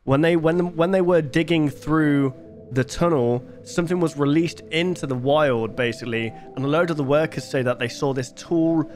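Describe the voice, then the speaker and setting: A man's voice: fairly high pitch, normal speed, darkish tone, soft background music. A man in his twenties giving casual reaction commentary into a close desk microphone. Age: 20s. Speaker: reaction video host. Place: bedroom gaming setup, indoors.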